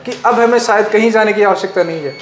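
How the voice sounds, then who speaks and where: A man's voice: high-pitched, fast. A young man from Rajasthan, a trainee recounting his experience in a workshop. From Rajasthan, India. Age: young adult. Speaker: technical trainee. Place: appliance workshop indoors. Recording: echoey room sound.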